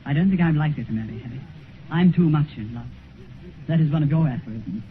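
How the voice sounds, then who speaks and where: An actor playing a passionate, impressionable and petulant young gentleman with an upper-class English accent, earnest, in a 1950s radio drama. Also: a little high, fast talker, natural voice. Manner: earnest, ardent. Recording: tinny, hissy 1950s radio recording.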